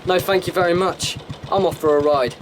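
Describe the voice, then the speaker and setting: A man's voice: sits high, fairly even intonation, fairly quick, background noise, lightly acted. An actor playing a sullen, blunt teenage English boy in a radio drama, with an English accent and casual manner. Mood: sullen offhand.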